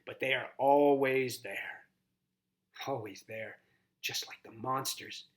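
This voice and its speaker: A man's voice: mid-range voice, slow, close to an everyday voice. A man voicing a wise horned toad mentor in a children's storybook, grave and instructive with somber warnings. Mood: calm, reassuring. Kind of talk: audiobook character reading.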